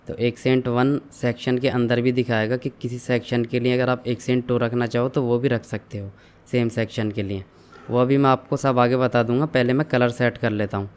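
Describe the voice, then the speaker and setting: A young man calmly walking viewers through an online store setup, step by step, mixing English terms into his explanations. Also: mid-range voice, smooth. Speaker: online tutorial instructor. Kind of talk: screen-share software walkthrough.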